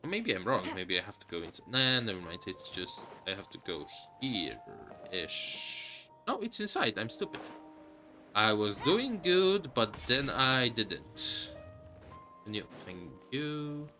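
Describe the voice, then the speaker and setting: Slow-talking male game streamer. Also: lowish voice, big pitch swings, over music. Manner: hesitant, rambling, thinking aloud. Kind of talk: casual live gaming commentary.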